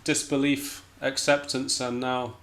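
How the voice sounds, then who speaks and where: A man's voice: medium pitch, level tone, unhurried, fairly bright tone. Scottish-raised guy in a casual interview, kinda hesitant and modest, midrange voice. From Scotland. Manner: hesitant, self-deprecating, understated. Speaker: interview guest, jazz pianist and musician.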